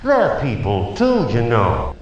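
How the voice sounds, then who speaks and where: Sleazy wisecracking tv game show host from a video game, super swoopy pitch, unhurried, bit of background noise. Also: male voice, wildly swooping pitch, lo-fi sound. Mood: smug, sarcastic. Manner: smug, wisecracking, showy. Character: smarmy, cynical male game show host of brutal virtual racing. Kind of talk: over-the-top TV game show presenting.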